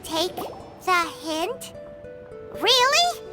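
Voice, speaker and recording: Squeaky, excitable little game companion. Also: female voice, very slow, music in the background, heavily acted. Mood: exasperated. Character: small childlike floating companion, excitable and bossy. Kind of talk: video game character acting.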